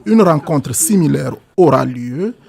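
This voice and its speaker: A man reading a scripted documentary narration in a gravelly voice. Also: a little high, expressive, measured pace, a little mellow. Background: music.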